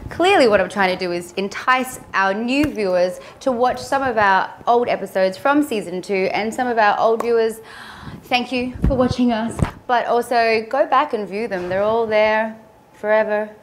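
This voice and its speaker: A woman's voice: medium pitch, moderate pace. Young female studio host, bubbly, laughs easily, lapel mic, faint hiss behind. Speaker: talk show host. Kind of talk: casual show hosting. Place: studio with couch and city backdrop.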